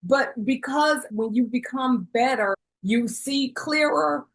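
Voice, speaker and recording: A woman's voice: sits high, takes it slowly. A woman hosting an interview, asking reflective questions and often affirming the guest. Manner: affirming, keeps saying yes. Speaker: podcast host.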